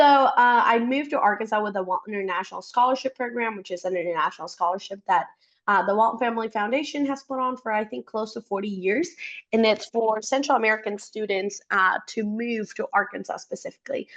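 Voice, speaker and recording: A woman's voice: medium pitch, fast talker, dull audio. Chatty young woman. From Costa Rica. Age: young adult. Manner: chatty and upbeat. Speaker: guest, startup support worker. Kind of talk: interview conversation.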